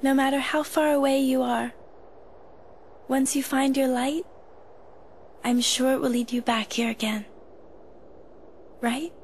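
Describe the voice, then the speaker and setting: An actress playing a gentle, caring, hopeful young woman in a video game, speaking softly and earnestly. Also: high-pitched, fairly even intonation, unhurried, long pauses, slight background noise. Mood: encouraging. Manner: soft, earnest, reassuring. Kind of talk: video game cutscene acting.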